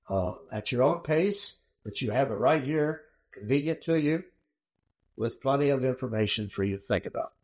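Gravelly man giving a Bible lecture. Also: mid-range voice, darkish tone. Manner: measured, emphatic teaching with rhetorical questions. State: slightly hoarse. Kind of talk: recorded lecture. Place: small room, not a classroom.

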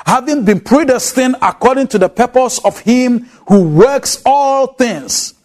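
A man's voice: extremely high pitch, animated, unhurried, a little mellow. A man with an African accent preaching an expository sermon, emphatic and repeating key words for weight.

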